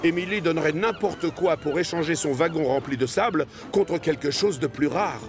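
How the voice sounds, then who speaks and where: A man narrating a children's cartoon story from a script, as an expressive storyteller. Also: on the high side, normal speed. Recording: music behind the voice.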